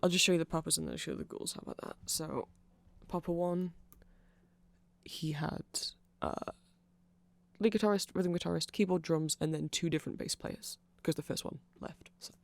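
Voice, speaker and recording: A mid-pitched voice with a noticeable creak, recorded close and dry. The speaker rambles informally through an ASMR-style explainer, offering opinions along the way. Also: moderate pace, no echo. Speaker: content creator.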